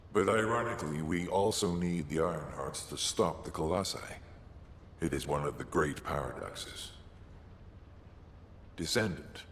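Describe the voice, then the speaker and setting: A man playing a learned, solemn scientist-advisor in a sci-fi video game, with a gravelly voice and a formal, deliberate delivery. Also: extremely low pitch, heavily acted. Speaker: mission advisor. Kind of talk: dramatic video game dialogue. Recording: echoey hall reverb, faint noise.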